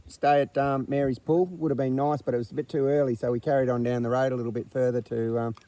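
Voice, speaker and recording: A middle-aged Australian man with a croaky voice from a flu, chatting casually to camera in a laid-back, slangy way. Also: medium pitch, fairly even intonation, fast talker, warm tone, no echo. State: getting over a flu. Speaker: outdoor adventure vlogger. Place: outdoors and in a vehicle on the road. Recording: noisy outdoor and vehicle background.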